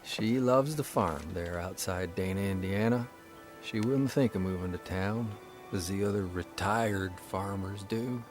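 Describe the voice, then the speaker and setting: A man voicing a young newspaper columnist, telling a story in a measured, fond way. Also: sits low, faint music behind. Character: young male newspaper columnist, earnest, reflective. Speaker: actor reading a column in character. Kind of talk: scripted narration and radio drama acting.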